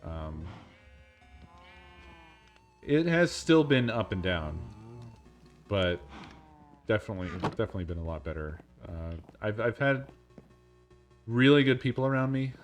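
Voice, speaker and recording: A man with a low, deep voice chats casually while he plays a game on stream. His delivery is flat and monotone, and he never rushes. Soft music plays quietly behind him. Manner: monotone, unhurried talk.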